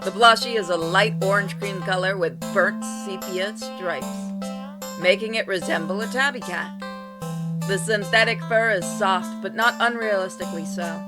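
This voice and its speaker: A woman reading a story game aloud in an expressive narration, acting out exclamations and asides playfully. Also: medium pitch, normal speed. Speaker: gamer reading a visual novel aloud on a playthrough. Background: music with plucked guitar.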